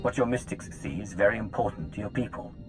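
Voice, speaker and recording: An actor playing a composed, earnest, resolute male hero in a video game cutscene, speaking in a measured way. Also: lowish voice, soft background music, natural voice. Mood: guarded, questioning.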